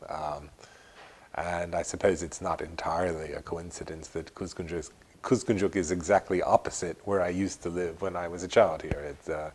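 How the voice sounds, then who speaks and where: A man's voice: sits low, steady tone, moderate pace, a little mellow, husky. An older man speaking reflectively in an interview, hesitant and rambling, often correcting himself. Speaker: interviewee, long-time resident.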